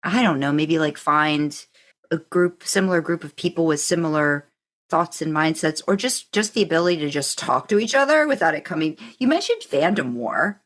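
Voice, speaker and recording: A woman with a slightly low-pitched voice chats casually as a podcast co-host. She rambles along in loose, informal fan talk and tends to repeat her phrases as she goes. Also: lively intonation, moderate pace.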